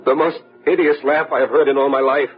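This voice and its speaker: An actor playing a haunted, overwrought widower in an old-fashioned gothic radio drama, speaking haltingly and repeating himself. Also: male voice, mid-range voice, little pitch movement, husky, natural voice. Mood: haunted, horrified. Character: haunted, nerve-shattered widower in gothic horror. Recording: muffled radio drama recording, music behind.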